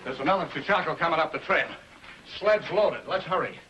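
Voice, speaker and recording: A man's voice: on the high side, brisk, very noisy, close to an everyday voice. An actor playing a nervous, hesitant outlaw henchman in a 1950s TV adventure. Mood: uneasy.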